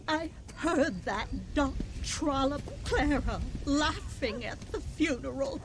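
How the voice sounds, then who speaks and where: An actress playing a genteel, self-pitying frontier widow in a Western video game, lamenting melodramatically. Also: female voice, sits high, slow pace, noisy background. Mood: bitter, sorrowful. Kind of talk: video game cutscene acting.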